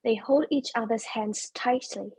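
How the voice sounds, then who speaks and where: A woman's voice: on the high side, unhurried, darkish tone, breathy edge, dry recording, rough recording. A woman narrating scripted stage directions as the narrator of a play reading.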